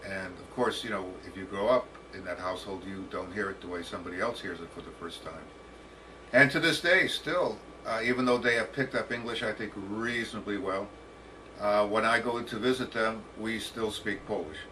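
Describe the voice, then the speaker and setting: Deep-voiced American man around sixty, slightly distant. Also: sharp tone. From United States, Detroit. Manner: deliberate, halting, formal phrasing. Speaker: professor, interview guest. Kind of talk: autobiographical storytelling. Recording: distant mic with faint background noise.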